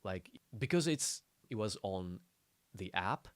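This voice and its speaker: A man, co-hosting a casual podcast in a halting way, often repeating words. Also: low voice, animated, dry recording. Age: 30s. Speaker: podcast co-host.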